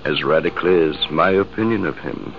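An actor playing a male army major who tells the story in a reflective, measured way, in 1950s radio drama style. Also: sits low, faint music behind. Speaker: narrator. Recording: hissy, muffled old radio broadcast.

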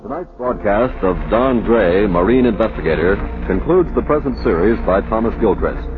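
A man announcing in a formal, measured mid-century radio style, reading from a script. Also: fairly low pitch, animated. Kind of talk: 1940s-50s radio announcing, scripted credits. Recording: narrow-band old radio broadcast with music. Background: music.

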